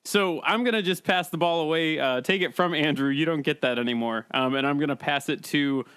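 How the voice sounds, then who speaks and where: A man with a fairly high, bright voice moderates a casual comedy panel podcast. The sound is dry and close, and his delivery is conversational banter. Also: a little fast, clear, resonant tone, no echo. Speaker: podcast host.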